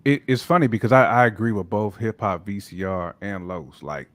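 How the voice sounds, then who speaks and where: A man's voice: lowish voice, animated, moderate pace, no room sound. An American man chatting and bantering casually as a podcast panelist.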